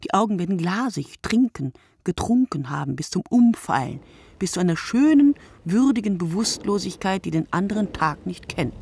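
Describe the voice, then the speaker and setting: A woman playing a reflective narrator in a literary radio play, with a husky voice and deliberate, fragmented, poetic phrasing that dwells on single words. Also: medium pitch, animated, darkish tone, a little hiss or hum, close to an everyday voice. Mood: incantatory, wry. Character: reflective woman narrator musing poetically on night-time drinkers.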